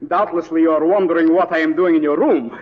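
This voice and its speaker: A man's voice: a little high, fairly even intonation, quick pace, natural voice. A man playing a pompous, eccentric, comically dignified Russian husband in an old radio drama. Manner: pompous, formal. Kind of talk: 1940s radio comic acting. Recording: noisy, dull old radio recording.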